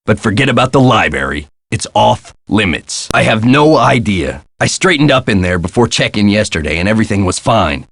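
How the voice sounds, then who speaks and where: Gruff, deep-voiced hotel caretaker. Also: male voice, very animated, normal speed, hardly any pauses, a bit bright, dry recording, clean studio sound, exaggerated voice. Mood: wry, matter-of-fact. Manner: folksy, sardonic, exasperated colloquial talk. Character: gruff, put-upon castle hotel caretaker man. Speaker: caretaker and front desk clerk. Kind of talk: scripted video game character lines.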